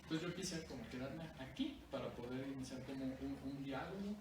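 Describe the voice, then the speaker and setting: A man's voice: mid-range voice, brisk, crowd noise. A man giving a discursive academic talk at a book presentation, often adding tag questions. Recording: echoey distant room recording with noise.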